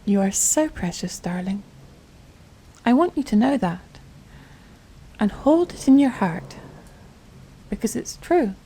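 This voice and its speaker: Breathy, slow-talking tender mother, acted by a woman. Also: medium pitch, expressive, poor audio. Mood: soothing, hushed. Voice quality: soft, breathy, often dropping to a whisper. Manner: slow, gentle, soothing, affectionate. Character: tender, doting mother soothing her little girl to sleep. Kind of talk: soft-spoken ASMR bedtime roleplay.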